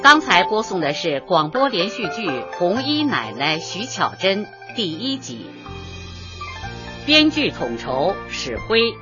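Measured woman announcer over prominent music. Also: medium pitch, sing-song. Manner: formal, measured, clearly enunciated. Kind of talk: scripted radio credits announcement. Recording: dull recording, loud music bed.

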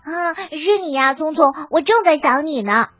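Over children's music in a slightly dull recording comes an eager little bear cub, with a very high voice. The cub is kind, bumbling and excitable, talking as in a storybook. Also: female voice, moderate pace, no echo. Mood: delighted. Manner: stammering, excitable, reassuring. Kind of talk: children's audio storybook acting. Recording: band-limited dull sound with children's music.

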